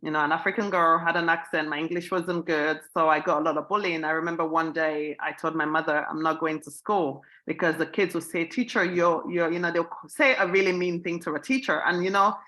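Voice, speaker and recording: Woman from Sierra Leone, panel speaker, bright and forceful tone, lowish voice, earnest. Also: steady tone, a little fast, hardly any pauses, poor audio. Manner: earnest, repeats herself, rising emphasis. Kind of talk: panel discussion answer.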